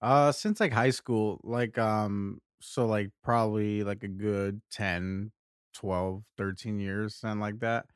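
A man's voice: fairly low pitch, steady tone, takes it slowly, smooth, dry recording, studio quality. A man in his thirties co-hosting a podcast, speaking in an easygoing, hesitant way full of "like"s. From Puerto Rico, raised in Connecticut. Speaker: podcast co-host. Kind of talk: casual chat.

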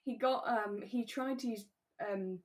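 A woman co-hosting a podcast, chatting in a relaxed, conversational way about a radio drama. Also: on the high side, measured pace. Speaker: podcast co-host.

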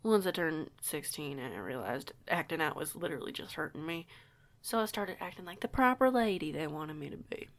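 A low, gravelly teenage werewolf girl, acted by a woman in an audio roleplay. She is a guarded troublemaker minding her manners, stumbling over words in a folksy, deferential way. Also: lively intonation, a little fast. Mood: guarded, bitter. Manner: folksy, hesitant, stammering, deferential. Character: eighteen-year-old delinquent female werewolf, guarded but polite.

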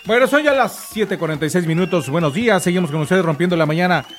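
Male radio host, higher-pitched voice. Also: animated, fast talker, music in the background. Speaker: radio morning show host. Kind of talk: casual on-air chat.

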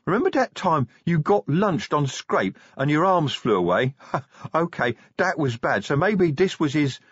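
A man's voice: a little high, sing-song, unhurried, a bit bright, breathy edge, dry recording, muffled recording, voice pushed up, full character voice. A man voicing a skinny, dim-witted, drug-addled troll in a fantasy audiobook, with hesitant, rambling, ungrammatical speech. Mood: rambling, dazed.

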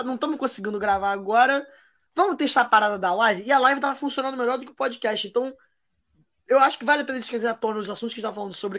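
A man's voice: high-pitched, a bit bright. A man co-hosting a casual football podcast, playful and full of slang, teasing his partner. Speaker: podcast co-host.

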